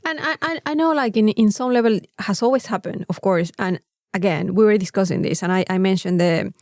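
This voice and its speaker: A woman's voice: medium pitch, brisk, a little mellow, no echo, studio quality. A woman with a Mexican accent, a native Spanish speaker, co-hosting a casual podcast in a candid, exasperated, emphatic way. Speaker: podcast co-host.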